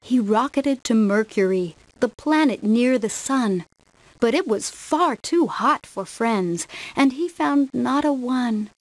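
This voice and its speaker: A woman narrates a rhyming children's story. Her voice is fairly high, and her pace is very slow, following the rhythm of the verse in a scripted storybook style. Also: expressive, no echo, rough recording. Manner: measured, rhythmic verse delivery.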